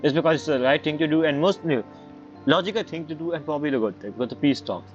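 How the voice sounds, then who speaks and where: Guy streaming a game, informal stop-start talk, bit dull mic, music in back. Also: on the high side. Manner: halting, informal. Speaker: gaming streamer. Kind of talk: casual gameplay commentary.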